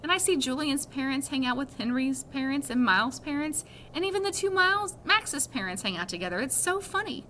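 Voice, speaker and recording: Female reader, bright and slightly high, for children's fiction read aloud; faint noise behind. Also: expressive, a little fast, hardly any pauses, rough recording.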